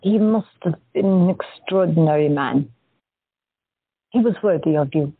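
A woman's voice: sits low, unhurried, slightly rough, dry recording, exaggerated voice. A woman playing a shy woman patient who has visions, speaking hesitantly and with wonder. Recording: dry, tinny phone-like audio.

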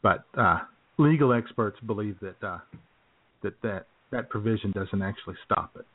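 A man's voice: fairly low pitch, moderate pace. A man hosting a live radio show in a casual, chatty style. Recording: muffled lo-fi internet radio.

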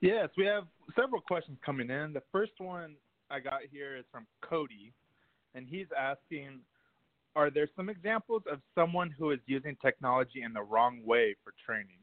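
Higher-pitched man on a tinny line. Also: animated, moderate pace, no room sound. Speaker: question reader. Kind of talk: reading submitted questions aloud. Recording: tinny, dry phone-line audio.

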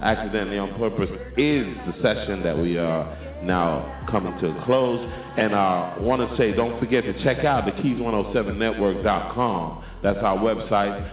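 Deep-voiced Trinidadian host, laid-back slang. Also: male voice. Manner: laid-back slang, drawn-out sign-offs. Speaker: show host. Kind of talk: casual radio sign-off chat. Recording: tinny phone-line audio, echoey, music behind.

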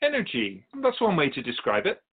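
A man playing an otherworldly, patient keeper of souls in a radio drama, speaking in measured, formal phrases with gentle questions. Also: on the high side, huge pitch swings. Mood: patient, explaining. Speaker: guest on a radio show. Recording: thin phone-like band-limited audio.

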